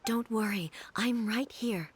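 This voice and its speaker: An actress playing a composed, godlike female ruler, speaking in a measured, formal way in an anime video game. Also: on the high side, breathy edge. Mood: calm, reassuring. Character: calm, regal female shogun, godlike ruler. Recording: game music behind the voice.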